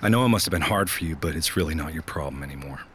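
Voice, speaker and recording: A man's voice: a bass voice, lively intonation, racing, a little hiss or hum. An actor playing a heartbroken, awkward night-shift worker in his twenties, downcast and hesitant, in a naturalistic audio drama. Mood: hurt, pleading. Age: 20s.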